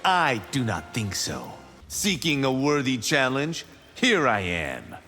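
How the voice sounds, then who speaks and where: Eager martial artist, forceful, husky, boastful, game dialogue, music behind. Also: male voice, mid-range voice, huge pitch swings, takes it slowly, in a large hall, exaggerated voice. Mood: cocky. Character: cocky, eager young martial arts fighter. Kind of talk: fighting game character dialogue.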